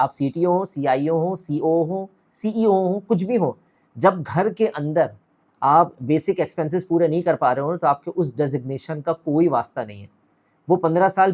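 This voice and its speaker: A man's voice: fairly high pitch, darkish tone. Exhorting man, middle-aged. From Pakistan. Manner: direct, exhorting, asks the viewer questions. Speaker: academy founder and director. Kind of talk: motivational talk to camera.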